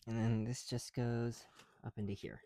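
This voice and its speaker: Soft-toned man musing aloud, dry close mic. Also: medium pitch, little pitch movement, normal speed, no room sound. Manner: talking to self, musing aloud. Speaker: ASMR video creator. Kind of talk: ASMR, casual muttered commentary while building.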